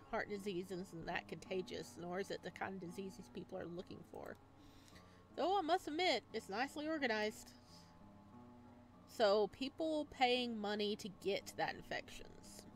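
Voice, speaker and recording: A woman with a mid-range voice hosts a visual novel playthrough. She reads story text aloud and shifts into chatty, informal asides of her own. Music plays softly behind her. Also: mid-range voice. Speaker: gaming video host. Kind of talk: visual novel let's play, reading text aloud with casual commentary.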